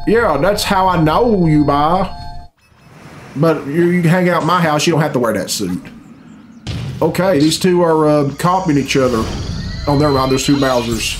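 Male reaction host, middle-aged, slightly high voice, casual and sweary, bemused off-the-cuff delivery over clear background music. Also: normal speed. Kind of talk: casual, unscripted reaction commentary. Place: small room at home, indoors. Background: game cutscene music.

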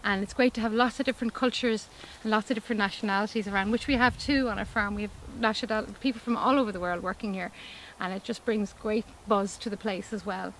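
An upbeat woman in her forties from Ireland speaks outdoors in a vegetable field, chatty and eager, often restarting her sentences. Her voice sits at an ordinary middle pitch. A faint open-air hum and distant traffic sit behind her. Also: fairly even intonation, fast, few pauses, a bit bright. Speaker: organic farmer. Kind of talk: outdoor interview. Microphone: camera mic, standing interview.

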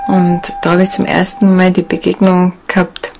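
A woman talking reflectively in a documentary interview, halting and pausing to find her words. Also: lowish voice, monotone, background noise. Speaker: shamanic seminar leader and interviewee. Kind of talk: documentary interview, unscripted talk.

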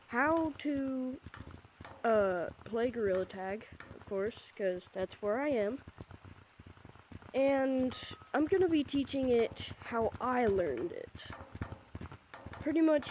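Young woman, stuffy cold voice, slow unhurried delivery, mellow tone, rambling casual tutorial, headset mic. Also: a little high, slight background noise. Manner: loose and rambling, heavy on "pretty much" and "like". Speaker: VR gaming tutorial creator. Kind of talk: casual game tutorial narration.

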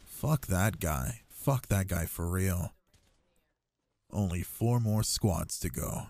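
A man's voice: sits low, takes it slowly, vocal fry, a little mellow, no room sound, full character voice. A young man playing an affectionate, cocky gamer boyfriend in an intimate ASMR roleplay, with slangy, teasing talk. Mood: excited, trash-talking.

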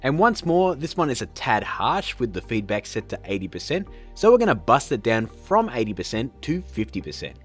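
A man hosting a casual software tutorial, upbeat and playful with joking asides. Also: medium pitch, big pitch swings, normal speed, few pauses, husky, soft background music. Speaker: tutorial host.

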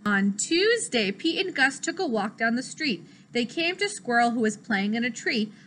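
High, bright young female teacher reading aloud. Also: slight background noise. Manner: expressive, deliberate, child-directed. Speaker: teacher reading a picture book. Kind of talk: children's read-aloud. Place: small classroom, indoors.

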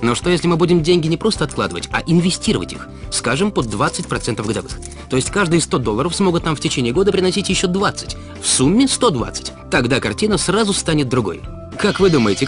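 Expressive man narrating over music. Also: fairly high pitch. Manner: didactic, rhetorical, addressing the listener. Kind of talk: scripted explainer narration. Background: background music.

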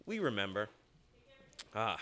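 A man's voice: fairly low pitch, very animated, unhurried, bright, forceful tone. A twenty-year-old man hosting a student radio theater show, rambling and joking in a self-deprecating way. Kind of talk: ad-libbed emceeing with jokes. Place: small studio. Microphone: standing microphone.

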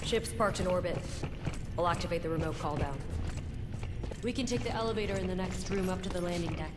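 A woman's voice: lowish voice, brisk, heavy background noise, natural voice. An actress playing a hard, suspicious woman rebel in a sci-fi video game, curt and commanding. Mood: defensive, firm. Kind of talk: video game cutscene acting.

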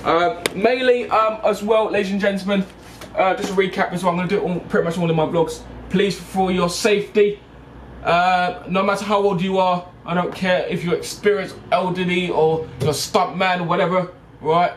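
High-pitched young man, halting delivery. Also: steady tone. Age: 20s. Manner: halting, repetitive, with frequent check-in tags like "okay?" and "right?". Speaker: bus driver and vlogger. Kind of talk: casual explanatory vlog addressed to passengers. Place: inside a parked double-decker bus. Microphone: handheld camera at arm's length. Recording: background vehicle noise inside a bus.

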